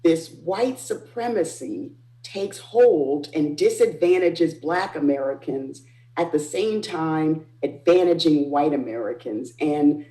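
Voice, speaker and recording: Roomy-sounding woman explaining, lower voice. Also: slow, dark tone. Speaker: author discussing own book. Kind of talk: explanatory panel talk.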